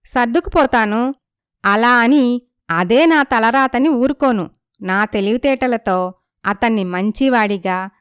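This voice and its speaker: Feisty young village-story girl, clear highish voice, speaks her mind, cartoon moral tale. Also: dry recording, clean studio sound. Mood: determined. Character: feisty, proud young unmarried woman from poor family. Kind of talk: animated moral story dialogue.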